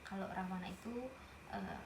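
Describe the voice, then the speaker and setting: A woman's voice: on the low side, huge pitch swings, normal speed. A woman reading a picture book aloud, stopping to explain the story to a young listener in a chatty way. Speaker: storybook reader. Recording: roomy with noticeable background noise.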